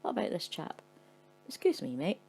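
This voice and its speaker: Australian man, voiced by a woman: polite pedant, softer and lower than the narrator. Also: huge pitch swings, moderate pace, creaky, husky, heavily acted. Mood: pedantic. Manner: know-it-all, mild and polite. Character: pedantic friendly Australian male tourist.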